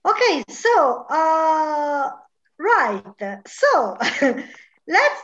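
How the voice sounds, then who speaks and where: A woman's voice: high-pitched, sing-song, extremely slow, fairly bright tone. A woman in her fifties leading a casual language class, prompting people and echoing their replies. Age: 50s. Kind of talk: casual chat with students. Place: online video call. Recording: dull lo-fi online call.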